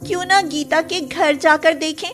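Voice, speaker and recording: Woman as little schoolgirl, high-pitched, emotional, expressive cartoon story acting, light music. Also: moderate pace. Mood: sad, worried. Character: emotional, loyal young schoolgirl in a moral tale. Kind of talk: acted Hindi moral cartoon story.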